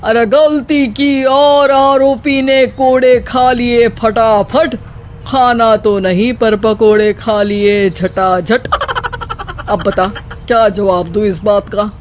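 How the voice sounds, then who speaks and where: A woman's voice: on the high side, fairly even intonation, slow pace, a little hiss or hum. A woman voicing a helpless, complaining elderly father-in-law in a cartoon moral story. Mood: exasperated. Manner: complaining, put-upon. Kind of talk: animated moral story dialogue. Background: background music.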